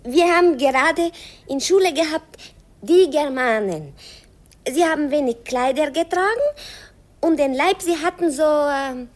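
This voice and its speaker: A playful Hungarian teenage girl in a radio play. Her voice is very high and swoops in a lively singsong. She speaks slowly, with a Hungarian accent and charmingly broken grammar. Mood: earnest, searching.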